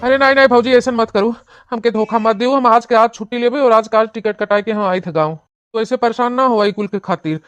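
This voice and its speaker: Actor as lovesick young cartoon village man, Awadhi accent, smooth clear voice, wheedling, dry recording. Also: medium pitch, fairly quick, a bit bright, dry recording, faint music behind, natural voice. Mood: pleading. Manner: wheedling, gushing. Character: lovesick, pleading young village brother-in-law. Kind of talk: cartoon comedy acting in rural dialect.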